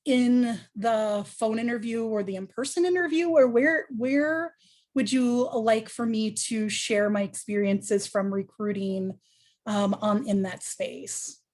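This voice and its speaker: A woman's voice: on the high side, takes it slowly, a little mellow. Earnest woman panelist, thinking aloud. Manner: earnest, thoughtful, many ums and restarts. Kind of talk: panel discussion answer.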